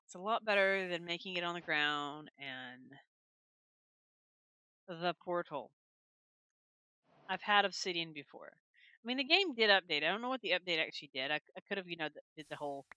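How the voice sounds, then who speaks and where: Woman gamer, bright tone, home desk mic, rambling let's-play chatter to herself. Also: fairly low pitch, lively intonation, moderate pace, long pauses, dry recording, low-quality recording. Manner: rambling, talks to herself while playing. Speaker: gaming channel host. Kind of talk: casual let's-play commentary. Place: her room at home. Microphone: desk microphone.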